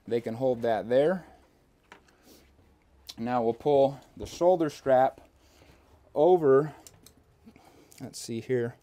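A man's voice: mid-range voice, mellow. A young man giving a step-by-step, hands-on demonstration inside a small parked bus, talking steadily with the odd filler as he works.

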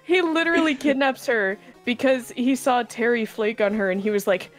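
A woman's voice: on the high side, moderate pace, faint music behind. A woman chatting and joking with friends about a game, sometimes slipping into a mock counselor bit. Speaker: let's play co-host. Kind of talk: casual gaming chat.

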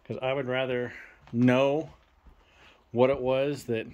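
A young man filming a ghost-hunting vlog in a dark abandoned farmhouse, talking to spirits and loudly challenging them. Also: medium pitch, little pitch movement, slow pace, muffled recording. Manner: loud provoking challenges to the spirits. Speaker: paranormal investigator.

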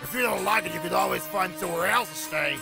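A scruffy old male drifter acted by a man talks in a high, creaky voice. He is cranky, rough and folksy, a comic-book character with music behind him. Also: quick pace. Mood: gruff, dismissive. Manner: rough colloquial speech. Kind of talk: comic dub voice acting.

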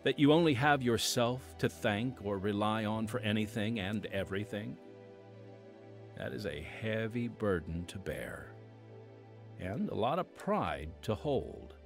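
An American man hosting a devotional podcast in scripted narration, with measured, emphatic, dramatic phrasing. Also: lowish voice. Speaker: podcast host and preacher.

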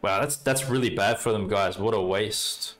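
A young Australian man casually commenting on his card game and chatting with viewers, relaxed and talkative. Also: lowish voice, moderate pace. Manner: relaxed, chatty, talks to chat while playing. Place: indoor room at a desk.

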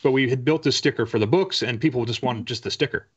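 A man in his forties chatting casually as an interview guest, halting and wry in a self-deprecating way. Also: medium pitch, background noise. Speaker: guest, author. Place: indoor home room with bookshelves. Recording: dull, lo-fi video call audio.

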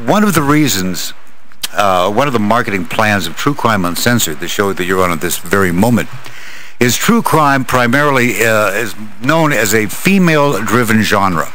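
A male radio co-host with a bright, clear voice talks in a relaxed, easygoing way. He leans on fillers, and a faint hum sits behind him. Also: on the low side, normal speed, rough recording. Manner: laughing, easygoing, many fillers. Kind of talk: radio talk show banter.

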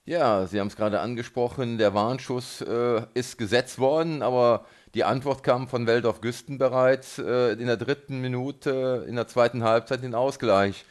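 Halting male sports radio host. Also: medium pitch, fairly even intonation. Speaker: radio host interviewing a football coach. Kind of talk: local sports radio interview.

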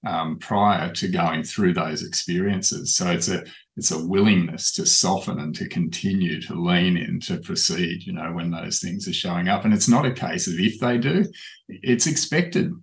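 Aussie guy with a really low voice, calm coachy talk on a home webcam. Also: moderate pace, gravelly. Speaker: life coach. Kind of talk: reflective coaching talk to camera. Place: indoors in a small home room.

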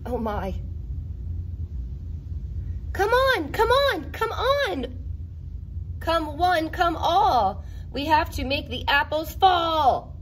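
A woman teacher giving an expressive, playful read-aloud of a picture book for children, exclaiming and adding asides. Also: extremely high pitch, slight background noise. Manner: expressive, exclaiming, playful, counts along deliberately.

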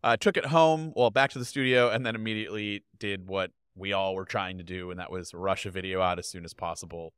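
A man in his thirties talks casually as a podcast guest, animated and quick with his gestures. His voice is bright and smooth, with a slightly low pitch. He is recorded close on a boom mic in a dry-sounding home studio. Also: dry recording. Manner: animated, gestures a lot while talking. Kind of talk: casual chat. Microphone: podcast mic on boom arm.

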